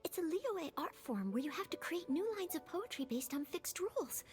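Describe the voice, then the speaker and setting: An eager young anime game character, very high and lively in pitch. The youngster sounds curious, and faint music plays behind. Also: female voice, moderate pace.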